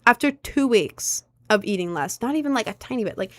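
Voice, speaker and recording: A young woman in her late teens chatting casually on a livestream from home, rambling and filling pauses with "like" and "um". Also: fairly high pitch, lively intonation, no echo, studio quality. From United States. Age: young adult. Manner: rambling, chatty, lots of "like" and "um" fillers. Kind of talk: casual livestream chat answering viewers.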